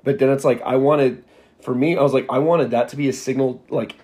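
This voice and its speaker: A man's voice: mid-range voice, steady tone, fairly quick, a little mellow. A young man co-hosting a podcast, talking in a laid-back, casual way and agreeing often. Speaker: podcast co-host.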